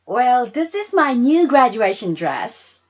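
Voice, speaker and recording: A woman's voice: fairly high pitch, big pitch swings, slow pace, a little mellow, lo-fi sound, pitched above the performer's own voice, heavily acted. A woman voicing an eager, generous sixth-grade girl in a storybook reading. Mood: bright, pleased.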